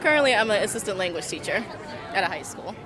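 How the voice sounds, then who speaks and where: A woman's voice: mid-range voice, sing-song, fast. A woman who works as a language teacher, answering street interview questions casually. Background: music and crowd.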